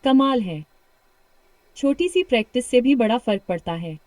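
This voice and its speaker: A woman with a British accent coaching a shadowing practice lesson, full of encouragement and reading practice sentences slowly and repeatedly. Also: fairly high pitch, animated, a little mellow, no room sound. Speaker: English speaking coach.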